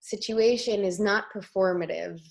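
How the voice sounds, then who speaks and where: A woman interviewing an artist, putting her questions in a measured, considered way. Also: medium pitch, steady tone, creaky, darkish tone. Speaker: interviewer, choreographer and journalist. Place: Tel Aviv.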